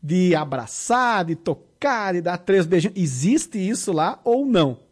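Gaúcho-interviewer, a calm questioner with a mid-pitched voice. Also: unhurried, slightly rough.

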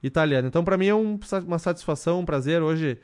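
Brief: man in his thirties, councilman guest on a radio show, steady, measured delivery with frequent fillers. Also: fairly high pitch, clear, resonant tone. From southern Brazil. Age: 30s. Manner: measured, many fillers and restarts. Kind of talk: radio interview answers.

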